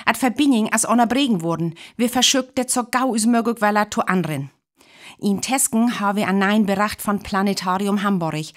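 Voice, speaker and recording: A woman's voice: medium pitch, moderate pace, lightly acted. Composed North Frisian female radio announcer played by an actress. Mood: composed, formal. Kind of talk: radio play news announcement.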